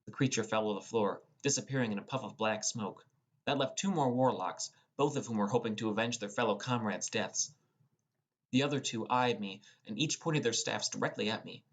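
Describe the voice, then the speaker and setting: A man's voice: lowish voice, fairly quick. A young man reading scripted first-person fantasy narration as a dramatic storyteller. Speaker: audiobook narrator.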